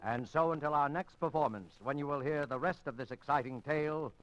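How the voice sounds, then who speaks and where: Loud music surrounds a man announcing in dramatic 1950s radio style. His voice is fairly high for a man, on a dull old recording. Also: a little fast.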